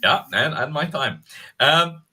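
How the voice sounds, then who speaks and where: Guy hosting a chat show, raspy and really forceful tone, stops and starts a lot. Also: fairly high pitch, lively intonation. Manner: halting, repeats words. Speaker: interview show host. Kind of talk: casual interview chat.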